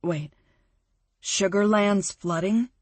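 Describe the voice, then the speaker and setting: A woman voicing a blunt businessman who talks in a hushed, gruff way. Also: medium pitch, takes it slowly, no echo. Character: brusque businessman, blunt and profane.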